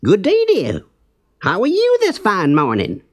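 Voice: medium pitch, huge pitch swings, unhurried, voice pushed up. A storyteller voicing a cheeky trickster rabbit, male, in a theatrical, exclamatory way with stretched-out words, in a children's storybook tale. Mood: friendly. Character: cheeky trickster male rabbit, indignant, mock-pleading, gleeful.

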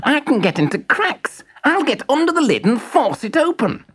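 A storyteller voicing a pushy male toy screwdriver who speaks eagerly in a very high voice. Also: very animated, normal speed, fairly bright tone, no room sound.

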